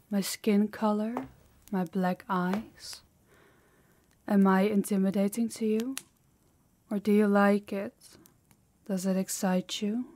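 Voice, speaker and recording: A woman's voice: mid-range voice, mellow, lightly acted. A woman voicing a harsh, dominant female demon in an intimate audio roleplay, with a haughty, commanding, taunting manner. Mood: seductive menace. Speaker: voice actor.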